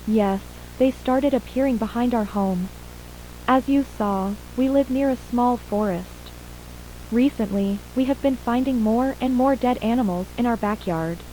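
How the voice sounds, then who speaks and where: A woman's voice: fairly high pitch, measured pace, mellow, lightly acted, rough recording. A woman playing a shaken mother and attack survivor, plainly recounting events in an acted horror audio drama interview. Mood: subdued, uneasy. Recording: faint hum and hiss.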